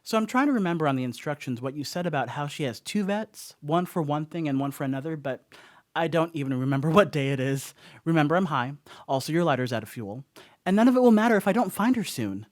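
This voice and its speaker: An actor playing a rattled, rambling stoner dog sitter in a radio play monologue, telling a story conversationally with wry asides. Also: male voice, fairly high pitch, lively intonation, fast talker, darkish tone, close to an everyday voice. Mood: rambling, uneasy.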